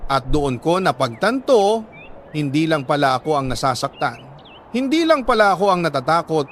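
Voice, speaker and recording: A Filipino man reading a dramatic story in an earnest, emotive way with weighty pauses. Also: fairly high pitch, fairly bright tone, slight background noise. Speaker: storyteller reading a listener's letter. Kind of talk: dramatic scripted story narration.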